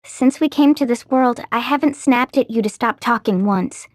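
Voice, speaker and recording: A spirited teenage warrior girl from a video game, voiced by a woman. Her voice is high and expressive, with a direct, informal way of talking that shows she cares. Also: moderate pace, dry recording, studio quality, close to an everyday voice. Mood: reflective.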